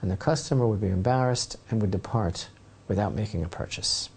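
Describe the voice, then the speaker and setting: Brief: male rabbi teacher, mellow warm tone, lively storyteller with asides. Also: fairly low pitch, brisk, gravelly, husky. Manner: dramatic storytelling, asides to listeners. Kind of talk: Torah lesson retelling a legend.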